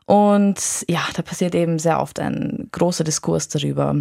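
A woman speaks as a radio reporter. Her voice is a little low for a woman. She reports in a conversational way in the studio. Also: normal speed, vocal fry, warm tone.

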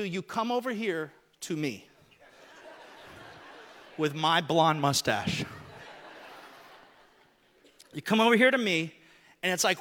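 Bright high-voiced joking preacher. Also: male voice. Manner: joking, animated, talks to people on stage. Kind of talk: lively sermon with audience volunteers. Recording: reverberant hall sound.

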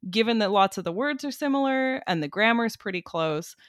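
A woman's voice: fairly high pitch, expressive, a little fast, no echo, clean studio sound. A woman giving an articulate, conversational explanation in the manner of an educator being interviewed. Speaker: music cognition researcher and educator, interviewee.

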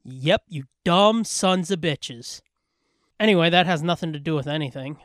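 A man's voice: a little high, moderate pace, fairly bright tone, no echo, clean studio sound. A man co-hosting a podcast in casual comic banter, crude, profane and joking. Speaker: podcast co-host.

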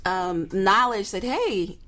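A woman's voice: medium pitch, lively intonation, slow, a bit bright, slight background noise. Emphatic, playful woman talk show guest. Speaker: marketing expert guest. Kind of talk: lively talk show discussion.

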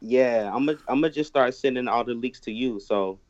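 A man's voice: mid-range voice, moderate pace, a little mellow, no echo. A man who has been drinking, a guest musician chatting loosely on a podcast, stumbling and repeating words. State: tipsy from drinking. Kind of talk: casual podcast chat. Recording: dry, dull lo-fi sound.

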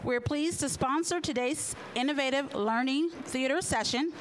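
A woman's voice: high voice, normal speed, slight background noise. A woman formally introducing a conference session and its speaker in a polished, scripted way. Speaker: sponsor representative introducing speaker.

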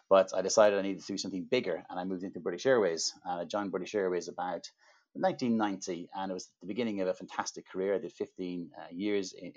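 A middle-aged man with an Irish accent, a guest telling his career story in brisk, upbeat interview answers. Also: deep voice. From Ireland. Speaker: podcast guest. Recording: slightly dull lo-fi audio.

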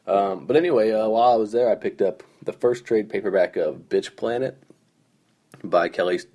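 Hoarse, groggy low-voiced man. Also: moderate pace, dark tone. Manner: rambling, self-deprecating, lots of fillers. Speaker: podcast host. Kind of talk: casual solo storytelling.

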